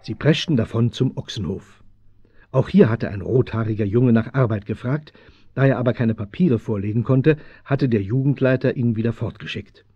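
Ein Schauspieler als Erzähler eines Abenteuerhörspiels für Kinder, mit dramatischem Vortrag. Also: male voice, medium pitch, moderate pace, breathy edge, muffled recording, natural voice. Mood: matter-of-fact. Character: storytelling male narrator of children's adventure. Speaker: narrator. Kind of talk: dramatic scripted audio play narration.

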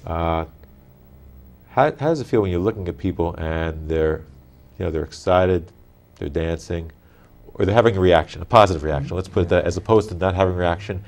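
Older male interview host, low register, halting phrasing, small studio. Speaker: TV talk show host.

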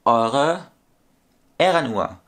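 A man's voice: medium pitch, lively intonation, extremely slow, a bit bright. Rambling man in his thirties, home vlog. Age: 30s. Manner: rambling, repetitive, corrects his own sums aloud. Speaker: toy collector vlogger. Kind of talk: casual vlog monologue. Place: small room at home with shelves.

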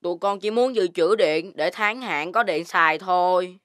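An actor voicing a chubby, greedy, playful piglet boy in a children's cartoon, boisterous and excitable. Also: medium pitch, normal speed, no room sound, clean studio sound, natural voice. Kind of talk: Vietnamese children's cartoon dubbing.

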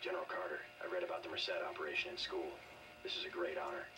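Deep, stoic American agent. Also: male voice, fairly even intonation, fast, recorded from a distance. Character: stoic, terse young male special agent. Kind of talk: video game voice acting. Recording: noisy game audio off TV speakers.

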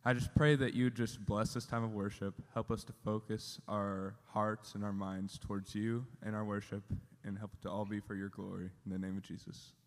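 A man leading worship, praying quickly and earnestly before the songs. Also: lowish voice, level tone, in a large hall. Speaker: worship leader. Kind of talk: spoken prayer. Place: large auditorium stage. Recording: music under the voice.

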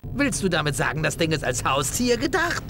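A man's voice: on the high side, huge pitch swings, a little fast, sharp tone, full character voice. A man voicing a casual, brash young furry alien hero in a video game. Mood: incredulous. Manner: casual, incredulous. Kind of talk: video game cutscene acting.

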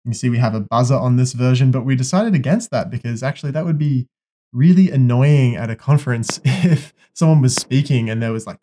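A man's voice: mid-range voice, warm tone. A young man, casually explaining an electronics project in a workshop in a friendly, upbeat way. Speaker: electronics presenter.

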